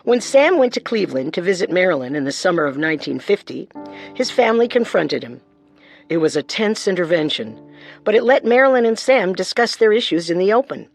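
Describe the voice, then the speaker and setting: A woman's voice: on the low side, expressive, brisk, gravelly, soft background music. A woman co-hosting a true-crime show with scripted narration. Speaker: co-host, narrator.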